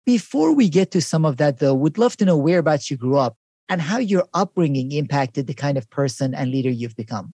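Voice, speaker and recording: A man's voice: a little high, lively intonation, fairly quick, warm tone, no echo. Male podcast host, close dry sound. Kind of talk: podcast introduction and interview.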